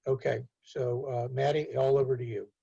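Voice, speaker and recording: A man hosting a virtual roundtable, introducing speakers with frequent filler pauses. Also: mid-range voice, vocal fry, slightly rough. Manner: many filler pauses, steady and cordial. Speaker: host and executive director. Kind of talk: event introduction. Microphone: video call. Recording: muffled video call audio.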